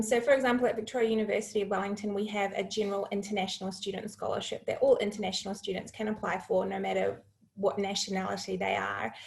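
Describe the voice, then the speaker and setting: University rep, woman, measured explainer, lots of vocal fry. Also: mid-range voice, low-quality recording. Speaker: university representative. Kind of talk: informative webinar answer. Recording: online video call.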